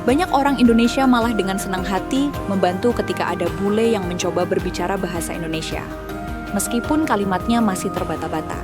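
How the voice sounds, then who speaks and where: A woman reading a scripted documentary narration in a calm, measured way. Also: medium pitch. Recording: music bed underneath.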